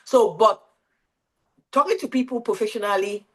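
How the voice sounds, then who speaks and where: A woman's voice: fairly high pitch, moderate pace, husky. A woman facilitating a career workshop on a video call, who keeps checking in with the group and asking questions. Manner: coaching, asks the group frequent questions.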